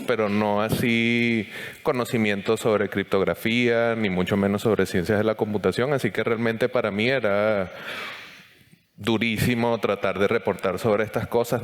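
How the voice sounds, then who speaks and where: A Venezuelan man with a Venezuelan accent, telling his own story as a panelist at a conference. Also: fairly low pitch. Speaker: panelist, journalist. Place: conference stage in a hall. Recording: long hall reverb.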